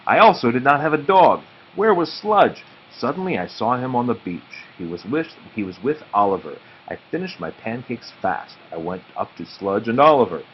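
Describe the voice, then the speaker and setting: A man reads a children's storybook aloud. His medium-pitched voice rises and falls expressively, and he slows deliberately for dramatic pauses. The recording is a little dull, with faint noise in the background. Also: very animated. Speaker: read-aloud narrator.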